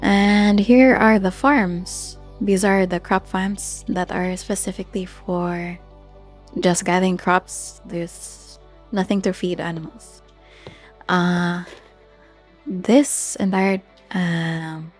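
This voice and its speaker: A person giving casual gameplay commentary in a hesitant, halting way, often searching for words. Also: mid-range voice. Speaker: gamer narrating own Minecraft build tour. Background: background music.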